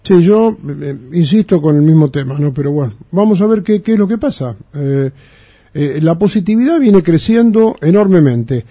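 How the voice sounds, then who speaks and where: A man's voice: on the high side, expressive, normal speed, mellow, no room sound. A man hosting a radio show, talking in an indignant, emphatic way and repeating his points. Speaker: radio host. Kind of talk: radio talk.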